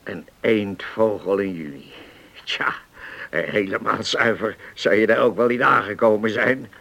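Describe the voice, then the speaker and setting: A man's voice: lowish voice, measured pace, vocal fry, bright, forceful tone, heavily acted. An actor playing a genial older retired seaman in a radio drama, musing and repeating his words. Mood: musing, contented. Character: genial old retired seafarer, contented and reminiscing.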